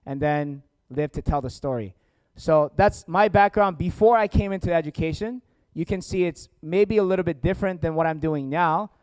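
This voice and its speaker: Clear-voiced American man hosting a seminar. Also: a little high, a little mellow, no echo. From born in South Korea, grew up in Atlanta, United States. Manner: jokey, engaging the audience with questions. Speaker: seminar host, company founder and educator. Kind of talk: informal seminar presentation to an audience. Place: indoor seminar room with families and online viewers.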